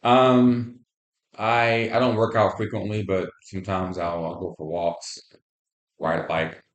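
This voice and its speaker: A man's voice: low voice, little pitch movement, measured pace. A man answering health interview questions as a patient, in brief, plain replies. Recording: roomy, echoing voice pickup.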